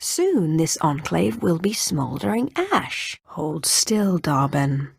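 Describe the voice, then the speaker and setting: A ruthless, expressive Sith inquisitor. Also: female voice, sits low, slow, vocal fry, slightly rough, exaggerated voice. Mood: haughty, commanding. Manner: commanding, menacing, mocking. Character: ruthless, haughty female Sith inquisitor, cruel and sardonic. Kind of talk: scripted video game character lines.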